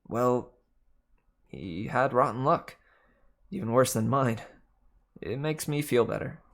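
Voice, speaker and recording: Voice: medium pitch, slow pace, vocal fry. A reader playing a hesitant, earnest young boy hero, plain-spoken, in a storybook reading.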